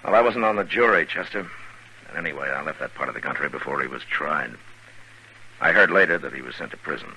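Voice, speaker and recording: An actor playing a calm, steady frontier marshal in a 1950s radio western. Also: male voice, rumbling low, fast, slightly rough, full character voice. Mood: grave, calm. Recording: noisy old radio broadcast recording.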